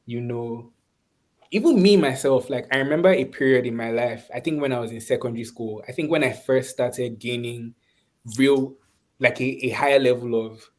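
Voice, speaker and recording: A Nigerian man speaking reflectively and conversationally in an online webinar, often pausing and restarting as he searches for words. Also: medium pitch. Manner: thoughtful, halting, searching for words. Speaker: webinar speaker, course instructor.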